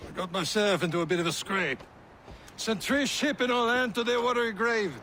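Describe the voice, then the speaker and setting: An actor playing a swaggering privateer ship captain in a video game. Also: male voice, high voice, brisk, noisy background, lightly acted. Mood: boastful. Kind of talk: dramatic video game acting.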